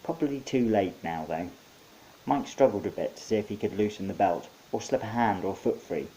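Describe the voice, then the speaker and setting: A man's voice: low voice, warm tone, rough recording. A man narrating his own short story in a wry, conversational storytelling manner. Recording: faint background hiss.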